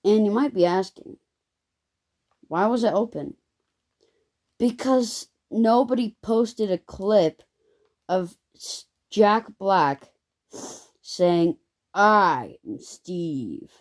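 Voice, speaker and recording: A young vlogger telling a rambling story in a casual way, full of ums and self-corrections. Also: male voice, sits high, lively intonation, drawn-out words, long pauses. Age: child.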